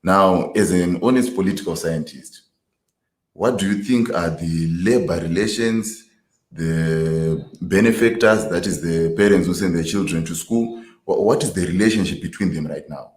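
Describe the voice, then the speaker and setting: Male host, very low voice, formal and measured; roomy echo. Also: darkish tone. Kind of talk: moderating a panel. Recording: echoey room.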